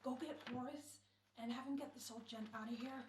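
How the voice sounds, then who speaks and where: Woman as brisk radio director, echoey room. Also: fairly high pitch, steady tone, darkish tone, a little hiss or hum, close to an everyday voice. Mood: businesslike. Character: brisk, bossy radio director, wry and self-assured. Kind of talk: old-time radio comedy acting.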